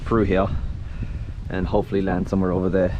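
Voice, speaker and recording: A man's voice: sits low, flat delivery, brisk, highs cut off, noisy background. A man hosting a DIY video, talking casually and thinking aloud as he walks through a hands-on installation outdoors. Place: outdoors by a concrete wall.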